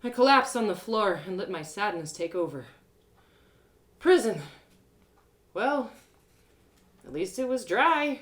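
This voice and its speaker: A woman storyteller reading a first-person adventure tale in an expressive, dramatic way. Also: medium pitch, slow pace, long pauses.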